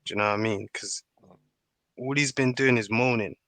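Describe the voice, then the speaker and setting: Male panellist, sharp bright voice, plain-spoken football chat, dry sound. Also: mid-range voice, normal speed, no room sound. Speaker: podcast panellist. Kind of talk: casual football discussion.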